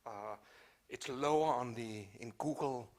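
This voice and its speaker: A man with a medium-pitched voice speaks off the cuff as a panel participant. He feels his way through sentences, repeating and rewording as he goes. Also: unhurried. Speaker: panel participant asking a question. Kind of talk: spontaneous panel discussion.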